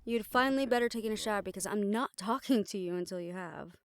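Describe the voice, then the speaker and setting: A woman's voice: medium pitch, fast, noisy background, natural voice. A woman playing a stern, exasperated young siren banshee spellcaster in a roleplay audio drama, talking conversationally and emphatically. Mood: stern, chiding. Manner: conversational, sighing, chiding, emphatic.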